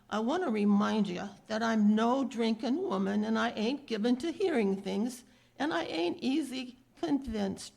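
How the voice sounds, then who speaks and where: A woman playing a stubborn, streetwise apple seller in a 1930s radio drama, with folksy, emphatic speech and a gravelly, husky voice. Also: a little high, a little mellow, exaggerated voice. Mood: insistent, excited. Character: feisty streetwise apple-selling beggar woman, stubborn, rallying.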